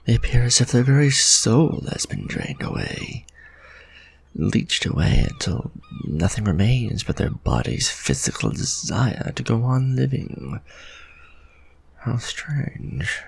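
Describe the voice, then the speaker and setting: A man's voice: mid-range voice, full character voice. A man voicing a refined, possessive male vampire lord in an ASMR romantic roleplay, formal and musing as he tenderly addresses the listener. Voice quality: gravelly, husky, soft-spoken.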